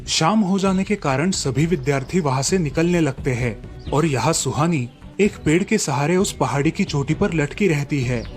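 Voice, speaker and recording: Higher-voiced man narrating, soft music behind. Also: expressive, normal speed. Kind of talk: scripted storybook narration for cartoon.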